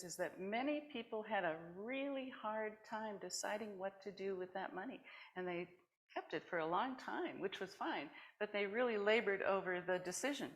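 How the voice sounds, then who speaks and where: A woman's voice: medium pitch, fairly bright tone, low-quality recording. A woman presenting at a worship service, reading short personal stories aloud to a congregation.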